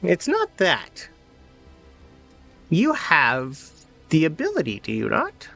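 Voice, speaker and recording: Guy doing an old dead sorceress spirit lady for a tabletop game, cryptic n formal, swooping pitch, music under it. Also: huge pitch swings, creaky, sharp tone, heavily acted. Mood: intense, probing. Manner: measured, archaic, formal phrasing. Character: ancient dead woman's spirit Morgan, cryptic, regal, possessing a body.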